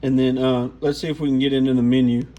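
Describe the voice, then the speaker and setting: A man's voice: medium pitch, little pitch movement, fast talker, warm tone, a little hiss or hum. A man giving an informal, off-the-cuff hands-on product walkthrough. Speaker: radio gear reviewer.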